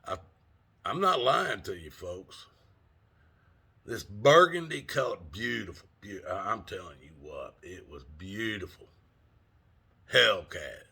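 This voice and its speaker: Older guy vlogging, husky with a bright edge, easygoing storyteller. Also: on the low side, lively intonation, slow pace, pauses often. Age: 60s. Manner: folksy, rambling, laughs often, mild cursing. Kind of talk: casual storytelling vlog.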